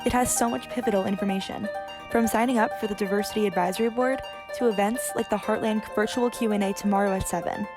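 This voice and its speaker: A young woman making a brisk, informative campus announcement. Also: medium pitch, steady tone, music in the background. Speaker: student announcer. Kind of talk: quick announcement.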